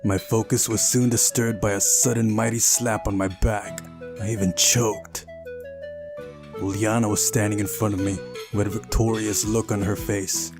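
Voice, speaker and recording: A man's voice: lowish voice, level tone, moderate pace, creaky. A man hosting a playthrough, reading a visual novel aloud and adding casual asides. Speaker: gaming channel host. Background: game music.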